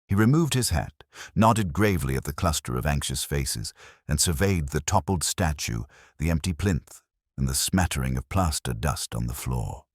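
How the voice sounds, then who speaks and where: A man with an extremely low voice narrates a mystery story. His delivery is dry and literary, yet the pitch moves in a lively way, and the sound is close and dry. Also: normal speed, no echo. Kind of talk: scripted narration of a wry British village mystery.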